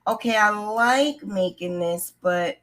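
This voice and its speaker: A woman's voice: medium pitch, slow pace, a bit bright. A middle-aged woman telling a personal story in a casual, candid and conversational way. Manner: candid, conversational, acts out remembered dialogue. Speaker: vlogger telling her own story.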